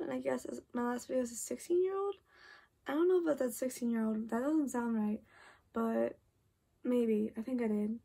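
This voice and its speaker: Eighteen-year-old woman, sleepy bedroom vlog, rambling filler-heavy chat; mellow, gravelly voice. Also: on the high side. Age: 18, about to turn 19. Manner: chatty, lots of "like" and "literally", restarts. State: tired, late at night. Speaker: vlogger. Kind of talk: casual late-night chat to camera. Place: bedroom at home, indoors.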